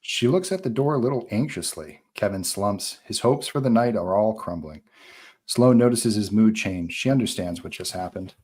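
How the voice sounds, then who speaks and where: A man reading screenplay action aloud as narrator of a live table read, in an informal, animated way. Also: fairly low pitch, moderate pace, slightly rough.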